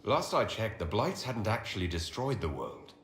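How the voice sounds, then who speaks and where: An actor playing a level-headed leader in a video game, speaking in a measured, direct, questioning way. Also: male voice, on the low side, sing-song. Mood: steady. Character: level-headed, probing male leader of a fantasy order. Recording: roomy echo, faint ambient noise.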